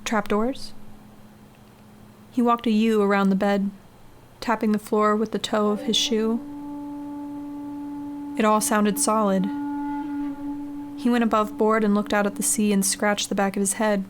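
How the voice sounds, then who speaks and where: A woman's voice: mid-range voice, flat delivery, unhurried, pauses often. A woman reading a scary short story as a scripted audiobook-style narration. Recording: clearly noisy background ambience.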